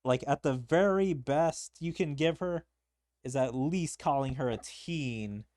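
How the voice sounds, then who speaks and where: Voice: medium pitch, a little slow, darkish tone, dry recording, clean studio sound. A streamer giving casual, rambling and hesitant commentary while playing through a video game story. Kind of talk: casual gameplay commentary mixed with reading dialogue aloud.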